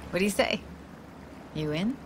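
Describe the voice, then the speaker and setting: A playful, self-assured young techie woman played by an actress in a cinematic video game. She talks in laid-back slang with a teasing edge, and clear watery ambient noise runs behind her. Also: medium pitch, lively intonation, moderate pace, lightly acted. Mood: upbeat. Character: playful, self-assured young female braindance editor and diver.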